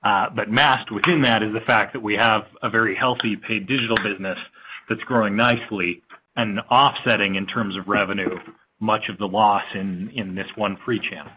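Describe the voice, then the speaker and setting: A man speaking as a finance executive, giving an articulate, measured analytical explanation on an earnings call. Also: fairly low pitch, steady tone, background noise. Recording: dull lo-fi conference call audio.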